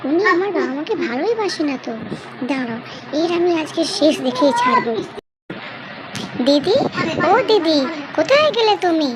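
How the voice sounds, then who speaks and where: A dutiful young wife in a family cartoon, voiced by a woman. She speaks very high, with earnest, emphatic politeness, over loud background noise. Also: big pitch swings, moderate pace, pauses often. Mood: shocked, indignant. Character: young dutiful daughter-in-law, earnest and easily upset. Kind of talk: dramatic cartoon dubbing. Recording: very noisy background.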